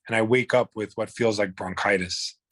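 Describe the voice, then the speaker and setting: A man's voice: fairly low pitch, fairly even intonation, normal speed, a bit bright, no room sound. A man, telling his own illness story in a blunt, profane, rambling way. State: hoarse. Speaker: guest. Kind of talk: candid personal storytelling.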